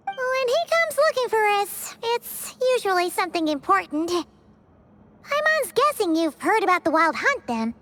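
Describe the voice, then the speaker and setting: Tiny chirpy fairy sidekick for a game; squeaky, extremely high, very animated. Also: female voice, a little slow, full character voice. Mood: chatty, earnest. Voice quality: squeaky, childlike. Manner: speaks of self in third person. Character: tiny chirpy floating fairy companion, female, childlike, boastful.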